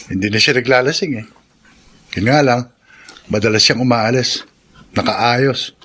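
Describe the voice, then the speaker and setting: A man's voice: mid-range voice, measured pace, pushed and bright, husky. A man playing a young son in a radio drama, confiding matter-of-factly about his family. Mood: resigned, confiding. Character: plain-spoken young student son confiding family troubles.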